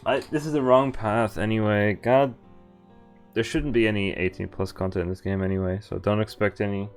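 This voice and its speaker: A man reads a visual novel's lines aloud on stream, stopping often for wry, profane asides. His voice is low and smooth, with faint game music behind it. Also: steady tone, a little fast. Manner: wry, swears and laughs between lines.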